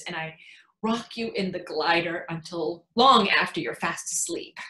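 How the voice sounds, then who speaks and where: A woman acting a tender, excitable new mother in her thirties, telling her baby a story in an intimate, halting theatrical monologue. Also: medium pitch, echoey room, lightly acted. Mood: tender, reflective. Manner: emotive, halting, intimate storytelling with dramatic swells.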